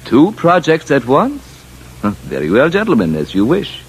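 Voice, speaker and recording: Formal alien official, swooping delivery. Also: male voice, medium pitch, wildly swooping pitch, moderate pace, slight background noise. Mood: formal, explanatory. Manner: stiff, precise, formal speech without contractions. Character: formal alien official, male, courteous and smugly confident. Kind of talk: old-time radio drama acting. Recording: muffled vintage radio broadcast.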